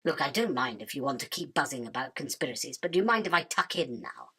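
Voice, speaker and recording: Spider queen for radio play, female actor: crisp-edged bright tone, theatrical, self-important and scornful, always hungry. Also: very deep voice, very animated, fast talker, heavily acted. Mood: dismissive. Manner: imperious, dismissive, self-justifying. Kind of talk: theatrical radio drama acting.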